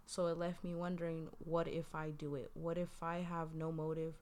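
A woman with a low voice chatting casually as a podcast guest, recounting an earlier conversation. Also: little pitch movement, moderate pace, darkish tone.

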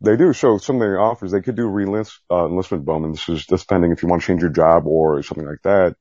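A man with a low, husky voice chatting candidly in an interview, rambling, self-deprecating and mildly profane. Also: quick pace, mellow, dry recording, lo-fi sound. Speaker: podcast guest, Navy veteran. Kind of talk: candid casual interview chat.

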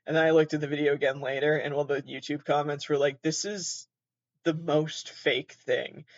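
A man's voice: a little high, steady tone, normal speed, no echo, dull audio. A man hosting a podcast in a very nasal voice, rambling casually, swearing freely and laughing at himself. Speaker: podcast host.

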